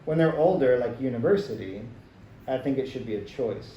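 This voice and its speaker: An American man with a dark, warm tone answers interview questions in an easy, plain-spoken way, leaning on "like" as he thinks. He is heard from across an echoey room, with a faint hiss underneath. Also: mid-range voice, fairly quick, highs cut off. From United States. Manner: relaxed, plain-spoken, many "like" fillers. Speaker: teacher being interviewed. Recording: roomy, distant and slightly noisy.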